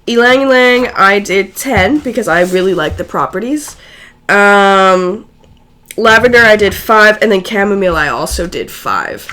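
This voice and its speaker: A woman with a raspy, hoarse voice, feeling unwell, casually explaining a home recipe step by step. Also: mid-range voice, lively intonation, a little slow, sharp tone. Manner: chatty, loose, self-correcting. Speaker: guest co-presenter.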